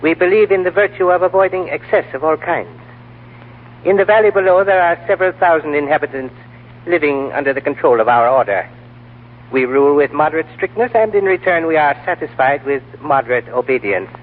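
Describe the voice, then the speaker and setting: An actor playing a courteous, evasive lamasery official in measured, formal speech, in 1940s radio drama style. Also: male voice, a little high, expressive. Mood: calm, courteous. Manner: formal, measured, deliberate phrasing. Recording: tinny old radio, humming noise.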